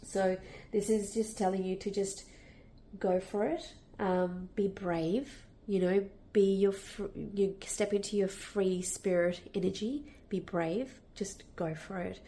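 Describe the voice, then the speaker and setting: Woman tarot reader, nasal and croaky from a cold, gravelly, gently reassuring. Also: mid-range voice, fairly even intonation, takes it slowly, slight background noise. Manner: warm, reassuring, with many fillers and restarts. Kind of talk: casual spoken tarot reading.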